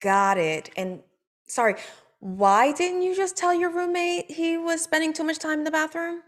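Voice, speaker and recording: A woman's voice: very high voice, normal speed. An actress at a table read playing an awkward, hesitant young woman who writes scripts.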